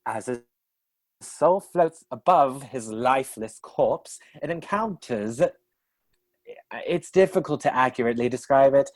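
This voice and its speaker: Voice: medium pitch, expressive, measured pace, darkish tone. A narrator of a comic radio play, telling the story with a dry, wry manner.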